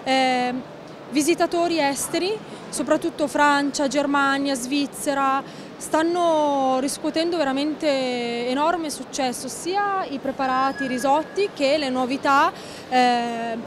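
A woman in her thirties talks upbeat at a company stand, repeating her points. Her voice is high, and there is clear noise from a busy indoor hall behind her. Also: slow. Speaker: rice company representative. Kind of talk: interview at a trade fair stand. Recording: noisy fair hall background.

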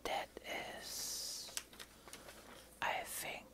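Casting: female ASMR creator, whispered, very slow and halting read-aloud, paper crinkling behind. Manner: slow, halting reading aloud in short phrases. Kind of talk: whispered ASMR show and tell. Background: crumpling, crinkling paper.

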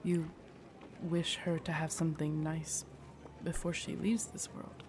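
A woman playing a loyal, gentle royal knight in an audio roleplay, formal, deferential and soothing in her measured speech. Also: low-pitched, flat delivery, background noise. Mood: sorrowful, tender. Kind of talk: immersive audio roleplay acting.

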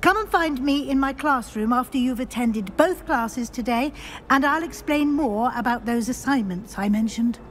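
An actress playing a brisk, stern professor. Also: female voice, high-pitched, a little hiss or hum, close to an everyday voice. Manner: crisp, brisk and no-nonsense. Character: brisk older female professor, stern and proper. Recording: game cutscene with music behind.

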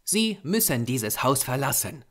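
A solemn, ominous man of dark lore as a male audiobook reader acts him out. He speaks in a measured but insistent way, with urgent warning in his tone. His mid-pitched voice rises and falls widely. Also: sing-song.

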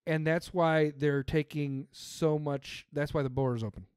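A man's voice: on the high side, steady tone, unhurried, darkish tone, clean tone, dry recording, studio quality. A man on a podcast, ranting emphatically about politics with plenty of swearing. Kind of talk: casual political chat.

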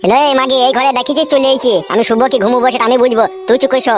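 A woman's voice: sits high, steady tone, rapid-fire, music in the background, lightly acted. A woman voicing a crude, quarrelsome village husband in a comic cartoon, scolding and swearing. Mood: defiant, scolding.

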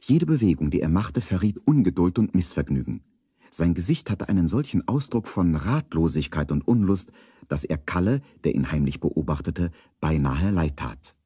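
Very deep, husky male storyteller. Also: animated, moderate pace, warm tone, dry recording, clean studio sound. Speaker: narrator. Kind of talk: scripted storytelling narration for children's radio play.

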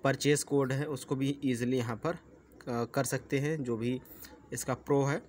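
A man talks viewers through things like a tech channel host. His voice sits at an ordinary middle pitch and his delivery is chatty and instructional. The homemade recording carries clear noise. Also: steady tone, a little slow, darkish tone. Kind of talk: presenter-style app tutorial to viewers. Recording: clearly noisy amateur recording.

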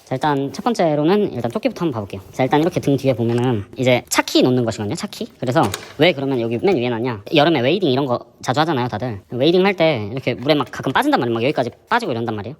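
A man with a hoarse voice from a sore throat, hosting a fishing channel and chatting through his gear in a casual, explanatory way. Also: medium pitch, very animated, rapid-fire, hardly any pauses. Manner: chatty, explanatory, many fillers. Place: indoor gym.

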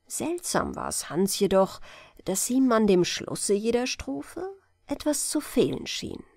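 A woman reading a fairy tale aloud as a bedtime audiobook, calm and gentle in her storytelling. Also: fairly high pitch, unhurried, creaky, no room sound, clean studio sound. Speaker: audiobook reader.

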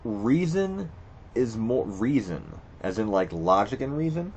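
A man's voice: mid-range voice, very animated, measured pace, a little mellow, a little hiss or hum. A man reading quiz questions aloud and reacting to each one, blunt and profane, thinking aloud with stammered restarts. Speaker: video creator. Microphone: screen recording with voice-over.

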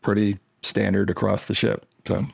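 A man with a low, gravelly voice gives relaxed opinions in a casual chat. He hesitates and restarts often, but stays laid-back. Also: moderate pace. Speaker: vlogger's husband, co-reviewer.

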